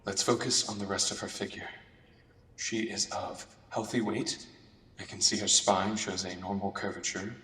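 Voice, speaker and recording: A man acting an uneasy, squeamish but conscientious doctor in a radio drama, speaking formally and haltingly in internal monologue. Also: on the low side, steady tone, bright, forceful tone, reverberant, distant mic, low-quality recording. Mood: uneasy, clinical. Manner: halting, formal, self-questioning.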